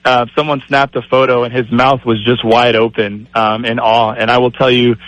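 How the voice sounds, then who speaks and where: A middle-aged man, an athletic director guest on a radio show, speaking with a raspy voice in a grateful tone with many fillers. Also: medium pitch, little pitch movement, moderate pace, a bit bright, dry recording, rough recording. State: raspy from screaming. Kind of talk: phone-in radio interview.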